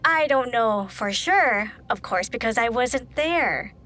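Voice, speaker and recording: A woman's voice: fairly high pitch, expressive, slow pace, pushed and bright, faint music behind, full character voice. A woman playing a prim, sly and menacing spinster heiress in an audio play, with haughty, ornate phrasing. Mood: defensive. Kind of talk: theatrical audio play acting.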